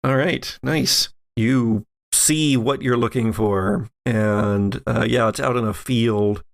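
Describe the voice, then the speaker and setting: A man with a mid-pitched, creaky voice narrates a tabletop game. As game master he improvises scenes, stretching and pausing within his phrases. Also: steady tone.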